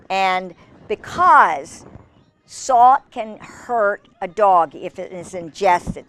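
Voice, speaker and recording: A woman hosting children's storytime, reading aloud and chatting in an expressive, gushing manner with fond asides. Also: mid-range voice. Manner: gushing, expressive, exclaiming over pictures.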